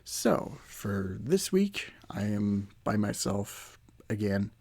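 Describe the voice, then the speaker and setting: A low-pitched man talks casually as a solo podcast host. His voice is a little nasal, as if from a runny nose, and he rambles conversationally, hesitating as he finds his way through each thought. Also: very animated, takes it slowly, vocal fry, darkish tone, breathy edge.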